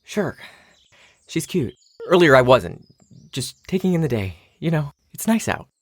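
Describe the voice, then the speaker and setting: A man's voice: on the high side, big pitch swings, a little slow, slightly rough, dry recording, studio quality, full character voice. An actor playing a shy, awkward young male newcomer in an audio drama, hesitant and rambling. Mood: flustered.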